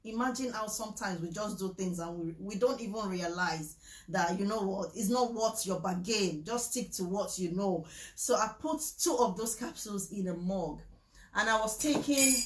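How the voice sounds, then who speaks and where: A woman talks to the camera in a chatty, emphatic way, repeating her words for effect and laughing easily. She moves between a friendly sales pitch and church-style preaching, at a middle pitch. The room is bare and echoey. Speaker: vlogger promoting supplements. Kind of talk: casual talk mixing a sales pitch with preaching.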